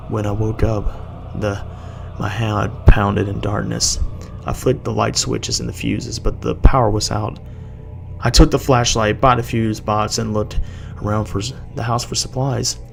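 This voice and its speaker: A man with a gravelly voice giving a halting, dramatic first-person reading of a horror story as an amateur narrator. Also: mid-range voice. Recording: noisy amateur home recording.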